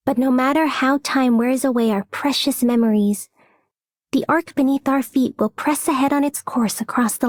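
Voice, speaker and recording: A woman's voice: fairly high pitch, dry recording, studio quality, close to an everyday voice. A woman voicing a composed, dignified young game heroine. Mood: reflective, encouraging. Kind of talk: game character voice acting.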